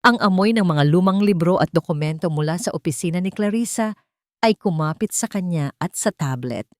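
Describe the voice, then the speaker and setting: A woman's voice: on the low side, lively intonation, a little fast, no echo, clean studio sound. A woman narrating a scripted dramatic story as its storyteller.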